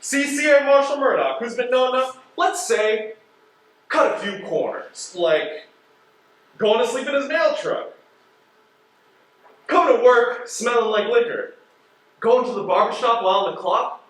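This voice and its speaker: Stage drama inspector: pressuring, needling, deliberate, very animated delivery, distant and heavily echoing sound. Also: male voice, extremely high pitch, exaggerated voice. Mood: sarcastic, accusing. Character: pressuring, sarcastic male postal inspector interrogating a suspect. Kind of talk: stage play acting. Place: theater stage. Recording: very echoey stage, distant microphone.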